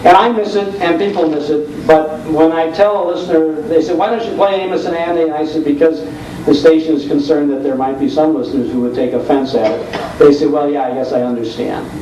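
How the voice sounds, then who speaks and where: A man's voice: fairly high pitch, fairly quick, warm tone. An American man, a radio host on a panel, talking informally and plainly in an anecdotal style. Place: convention room before an audience. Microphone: informal video recording, mic at a distance. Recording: noisy echoey room, distant mic.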